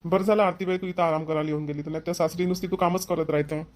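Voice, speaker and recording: An actor plays a village brother and husband in a family cartoon. He speaks quickly and insistently, in a homely way. His accent is rural Varhadi Marathi, and he is bossy but caring. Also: mid-range voice, fairly even intonation, close to an everyday voice. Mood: concerned. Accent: Maharashtrian, rural Varhadi Marathi.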